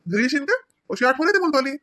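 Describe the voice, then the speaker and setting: A man's voice: extremely high pitch, very animated, rapid-fire, sharp tone, heavily acted. A man voicing a teasing, affectionate young husband in a comedy cartoon, chatting in a rural Marathi accent. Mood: teasing, fond.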